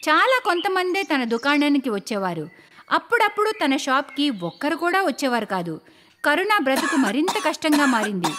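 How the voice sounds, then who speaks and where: Female story narrator for cartoons: high-pitched, very expressive melody, scripted delivery, faint background noise.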